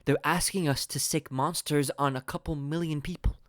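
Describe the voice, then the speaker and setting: A man's voice: a little high, fairly even intonation, moderate pace, dry recording, clean studio sound, natural voice. A man playing a principled, exasperated government employee, earnest and argumentative, in naturalistic drama dialogue. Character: principled, exasperated young male government employee challenging his boss.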